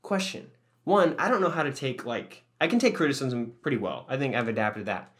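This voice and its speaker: A man's voice: mid-range voice, expressive, brisk, a bit bright. A young man co-hosting a podcast, telling stories in a rambling, joking way and swearing often. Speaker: podcast co-host. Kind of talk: casual chat.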